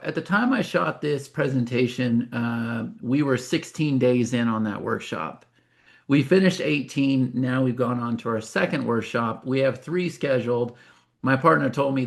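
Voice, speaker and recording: Creaky-voiced man of fifty presenting. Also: medium pitch. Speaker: webinar presenter. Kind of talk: informal sales presentation.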